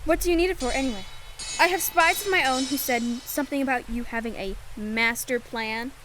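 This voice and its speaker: A woman acting as a commanding female leader in a sci-fi audio drama, brisk and no-nonsense. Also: high voice, background noise, lightly acted. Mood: wry, tense.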